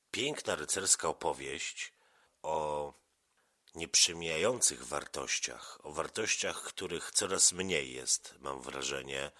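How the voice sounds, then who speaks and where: A man's voice: rumbling low, pushed and bright. A man speaking casually as an interviewer, asking questions in an encouraging, affirming manner. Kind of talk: casual interview with a child about an audio story.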